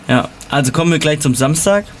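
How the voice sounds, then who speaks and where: A man's voice: on the high side, animated, fairly quick, slight background noise. Hesitant man reading a program. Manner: hesitant, many fillers, stumbles over names. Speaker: festival organizer guest.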